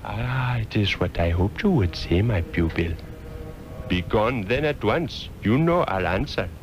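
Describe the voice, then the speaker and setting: A man's voice: fairly low pitch, expressive, unhurried. An actor playing an aged, weary mystic master in a 1960s radio drama, solemn and fatherly in formal archaic phrasing. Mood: weary, pleased. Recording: muffled old radio drama, music behind.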